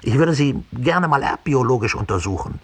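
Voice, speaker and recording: Actor putting on a dry, bleating pedantic schoolmaster. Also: male voice, mid-range voice, expressive, normal speed, slightly rough, pitched above the performer's own voice. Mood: smug, lecturing. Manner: lecturing, smug, pedantic. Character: pedantic, tactless male schoolmasterly Nazi lecturer with dry bleat. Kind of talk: 1960s radio play, quoted dialogue.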